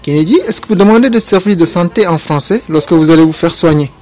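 A man with a fairly high voice does street interviews for a reporter segment. He asks short, brisk, repeated questions. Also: a little mellow.

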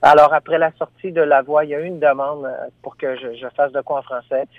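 A man's voice: on the high side, flat delivery, fast, no room sound. A man with a Franco-Ontarian French accent, a singer talking about his album over the phone, hesitant and full of fillers. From Ottawa, Ontario. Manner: hesitant, many fillers and restarts. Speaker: singer guest. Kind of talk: phone interview. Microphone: telephone. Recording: tinny phone line.

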